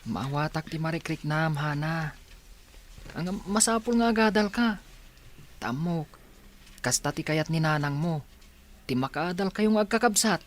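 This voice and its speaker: A man's voice: on the high side, animated, a little slow, long pauses, slight background noise. A man acting as a supportive young friend in a radio drama, earnest and encouraging.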